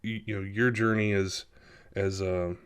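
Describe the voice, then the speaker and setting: A man hosting a podcast, asking questions in a halting way full of fillers. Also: fairly low pitch, level tone, clear, resonant tone. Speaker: podcast host. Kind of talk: casual interview chat.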